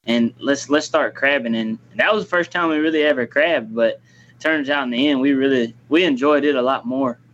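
A man's voice: medium pitch, normal speed, a bit bright, dull audio. A man in his twenties answering interview questions casually, restarting his phrases and replying politely with "yes, sir.". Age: 20s. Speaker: guest, young business owner.

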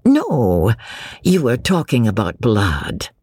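A narrator voicing a courtly, mild English gentleman who is bland, polite and slyly encouraging to his cousin. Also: mid-range voice, huge pitch swings, measured pace, breathy edge, no echo, clean studio sound, heavily acted.

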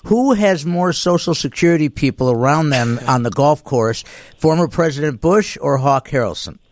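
An American man hosting a casual radio interview, a bit halting, often repeating words as he goes. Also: on the high side, lively intonation, no echo. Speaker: radio show host. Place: radio studio.

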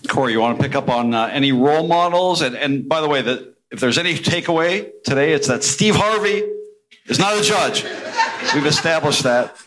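A man's voice: on the high side, a little fast, a bit bright, rough recording. A man moderating a live panel in an informal, conversational way, inviting others to speak.

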